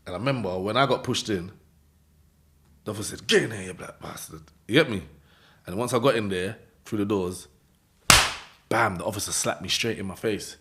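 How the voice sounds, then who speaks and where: A man with a London accent telling a story in an interview, in animated street slang, acting out voices and laughing often. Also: fairly low pitch, a little fast, pauses often. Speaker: interview guest.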